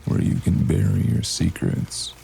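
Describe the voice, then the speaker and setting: A man playing a brooding, mysterious noir storyteller, narrating in ominous, measured, terse phrases. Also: noisy background, natural voice. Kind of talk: slow noir scripted narration.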